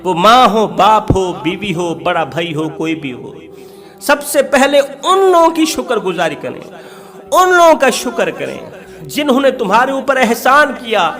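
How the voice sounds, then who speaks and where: Male Islamic preacher, high voice, emotional storytelling sermon, urgent exhortation and insistent repetition. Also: sing-song, unhurried, fairly bright tone, soft background music. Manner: impassioned, exhortatory, emphatic repetition in short rhetorical phrases.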